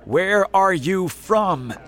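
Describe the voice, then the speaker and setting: A man voicing a bossy, alarmed police officer in a storybook, shouting commands. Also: high voice, animated, drawn-out words, pitched above the performer's own voice, full character voice. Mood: demanding.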